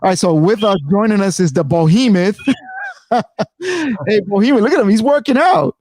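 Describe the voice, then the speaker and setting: A man's voice: high voice, expressive, normal speed, a little mellow, a little hiss or hum. Upbeat man hosting a chat show. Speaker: host. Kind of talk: casual chat.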